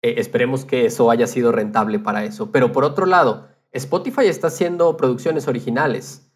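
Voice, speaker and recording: A man's voice: medium pitch, brisk. A man talking casually and conversationally as a podcast co-host.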